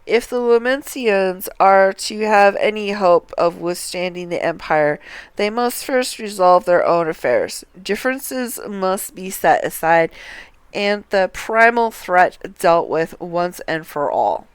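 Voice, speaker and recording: A woman streamer reading fantasy game dialogue aloud in a measured, deliberate way, close to the microphone. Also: medium pitch, animated, smooth, no room sound.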